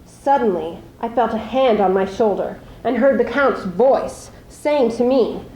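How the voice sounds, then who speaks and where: A teenage girl, a high school student, gives a deliberate, suspenseful dramatic reading of prose. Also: dark tone. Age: teens. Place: live school showcase before an audience. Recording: echoey live room recording.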